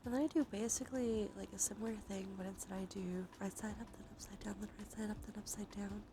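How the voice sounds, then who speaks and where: Soft whispering woman with creaky voice. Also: medium pitch, steady tone, fast, background noise. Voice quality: soft, breathy, often whispered. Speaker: livestream host. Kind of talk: ASMR tarot reading stream.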